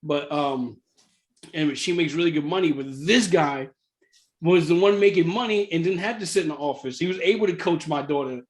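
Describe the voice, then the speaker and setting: A man in middle age with a slightly high voice. He tells a personal story in a loose, conversational way. He is animated and often repeats himself to drive a point home. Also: normal speed. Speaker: real estate investor sharing his story.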